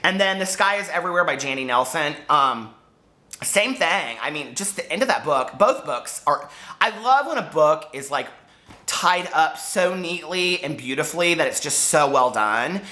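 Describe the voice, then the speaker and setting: Guy in his 40s doing a chatty book vlog, bright voice swooping all over, kinda campy and excitable. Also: on the high side, moderate pace. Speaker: book vlogger. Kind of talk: casual chat to viewers, book tag video.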